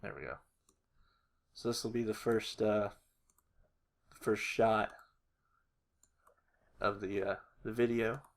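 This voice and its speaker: A man's voice: fairly low pitch, level tone, slow, creaky, pauses often. A man narrating a casual tutorial, hesitant and unrehearsed, thinking aloud as he works. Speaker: animator, video creator.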